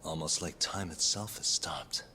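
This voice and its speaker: An actor playing a brooding, determined detective hero in a video game dub. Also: male voice, rumbling low, fairly even intonation, unhurried, pushed and bright, slight background noise, exaggerated voice. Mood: pensive.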